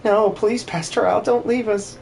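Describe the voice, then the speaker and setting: A man puts on a young farm girl from a fantasy game dub. She is a devoted, distraught apprentice with a high, pleading, stammering delivery. A steady hiss runs behind the voice. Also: normal speed, vocal fry.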